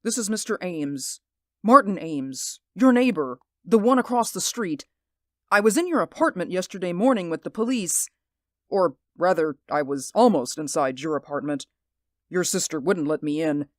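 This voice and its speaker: A woman's voice: lowish voice, lively intonation, moderate pace, darkish tone, no room sound, studio quality, lightly acted. A woman voicing Mister Ames, a nervous, sleepless man who stammers and pleads, in a radio drama. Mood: pleading. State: sleep-deprived, anxious. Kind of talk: hobby radio drama acting.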